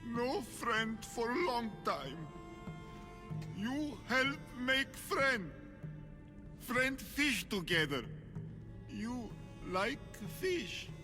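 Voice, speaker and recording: An actor playing a lonely, childlike cyclops giant in a video game, timid but friendly, talking in broken, simple words. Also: male voice, extremely high pitch, very animated, extremely slow, long pauses, big-room sound, over music, heavily acted. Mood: shy, hopeful. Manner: broken, simple-worded speech. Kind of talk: video game character acting.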